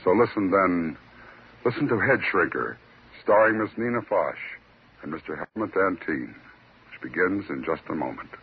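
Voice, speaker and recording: A man hosting a 1950s radio drama, giving a scripted introduction in a deliberate, wry, formal manner. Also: sits low, expressive, slightly rough. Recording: thin, narrow-band vintage radio recording.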